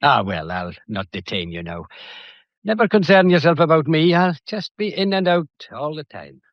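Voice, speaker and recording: An actor playing a genial, fatherly Scottish police inspector who questions courteously and gently in a mystery audio drama. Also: on the high side, sing-song, moderate pace, no room sound, lo-fi sound. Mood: measured, probing.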